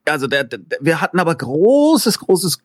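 A man's voice: fairly high pitch, very animated, normal speed, no echo, studio quality. A man being interviewed in casual chat, telling stories animatedly with many fillers and laughs. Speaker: interview guest, filmmaker.

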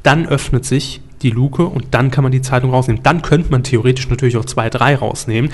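A man's voice: mid-range voice, a little fast, a little mellow. A man hosting a media talk show, explaining things step by step in a conversational way with rhetorical questions.

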